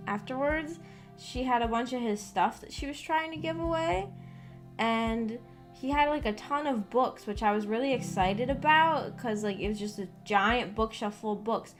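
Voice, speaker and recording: A young adult woman chatting casually on a live stream, rambling and hesitant, trailing off mid-thought. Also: a little high. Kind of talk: casual rambling livestream chat. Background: background music with guitar.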